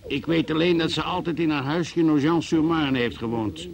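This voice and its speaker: An actor playing a relentless, accusing interrogator in a Dutch radio play, deliberate and insistent. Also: male voice, fairly high pitch, vocal fry, crowd noise. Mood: cool, probing. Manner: deliberate, insistent, coolly confrontational. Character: relentless, accusing male interrogator cornering a suspect.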